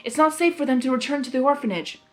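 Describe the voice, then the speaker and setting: A woman reads as a young pony princess from a storybook, earnest and commanding. The voice is high and urgent, with music behind it. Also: fast talker, lightly acted. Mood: urgent, firm.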